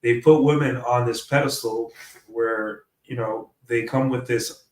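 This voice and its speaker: A man speaking at an ordinary middle pitch, his voice thick and stuffed-up from a cold. He rambles through a loose livestream monologue and keeps tagging his points with a questioning "right?". He is on a desk mic run through an audio interface. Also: steady tone, a little slow, rough recording. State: congested from a cold. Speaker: streamer.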